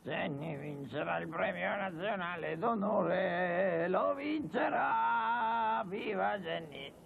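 An actor playing an excitable, crowing old man with a gravelly voice in a cartoon dub. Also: high voice, takes it slowly, crowd noise, full character voice. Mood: exultant. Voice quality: creaky, gravelly. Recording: narrow phone-like dubbed audio, noisy.

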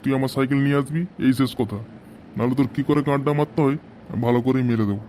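Man acting a fast-talking, grumbling Bengali village dad. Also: mid-range voice, fairly even intonation, warm tone, a little hiss or hum, close to an everyday voice. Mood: angry scolding. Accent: West Bengal Bengali. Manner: grumbling, scolding, heavy cursing. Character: hot-tempered, foul-mouthed village father scolding his lazy son. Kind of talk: amateur comedy cartoon dialogue.

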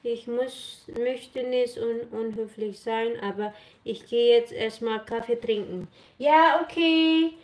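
A woman's voice: on the high side, slow pace, darkish tone, a little hiss or hum. A woman of thirty-eight, Filipino by birth, chatting casually with livestream viewers in simple German, mixing in some English while she works in her home kitchen. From Philippines. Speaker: vlogger. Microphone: camera on the counter. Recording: echoey kitchen with running water. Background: water and kitchen clatter.

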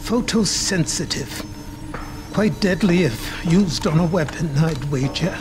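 An actor playing an eccentric, excitable older doctor in a video game, with a fussy, bookish, wordy manner. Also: male voice, a little high, slow, noisy background. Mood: excited. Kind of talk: video game cutscene acting. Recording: loud music behind.

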